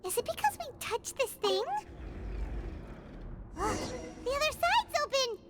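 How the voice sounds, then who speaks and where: A woman voicing a tiny, childlike female fairy companion in a video game, with an excitable, whiny, exclamatory delivery. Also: measured pace, soft background music, heavily acted. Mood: puzzled, excited. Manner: squeaky, exclamatory, refers to herself in third person. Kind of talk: cartoonish video game voice acting.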